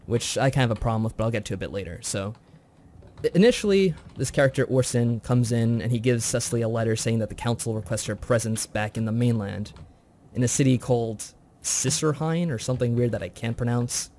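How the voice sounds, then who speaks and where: A man's voice: mid-range voice, brisk, warm tone, slight background noise. A man co-hosting a review show, recapping a story in a chatty, informal way. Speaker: co-host of a visual novel review show.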